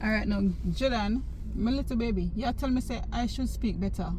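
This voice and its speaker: A woman's voice: a little high, a little fast. A woman in her forties with a Jamaican accent, chatting casually and animatedly while riding in a car. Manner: animated, gesturing while talking. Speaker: vlogger. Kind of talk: casual chat. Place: inside a moving car. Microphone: phone camera on the dashboard. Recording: car road noise behind.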